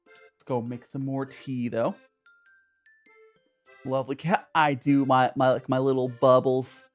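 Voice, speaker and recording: A man's voice: medium pitch, steady tone, unhurried, faint music behind. A young man from Southern California with a Southern Californian accent, chatting casually with viewers while drinking tea, rambling and self-mocking. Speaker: streamer hosting a tea chat. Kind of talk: casual livestream chat with viewers.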